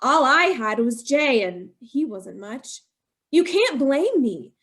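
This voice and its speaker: An actress playing a young bride driven to murder, speaking with breathless anguish in an old-time radio drama. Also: female voice, high-pitched, sing-song, takes it slowly, a bit bright, heavily acted. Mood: bitter.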